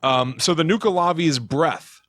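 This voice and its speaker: A man co-hosting a podcast, explaining things in a casual, halting way as he thinks aloud. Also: on the high side, a bit bright, a little hiss or hum. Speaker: podcast co-host.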